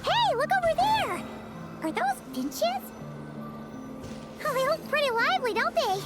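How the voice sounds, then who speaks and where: A woman voicing a tiny, childlike floating companion in a video game, chirpy, curious and excitable. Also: extremely high pitch, a little slow, over music, exaggerated voice. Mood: excited, curious. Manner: chirpy, expressive, exclaiming.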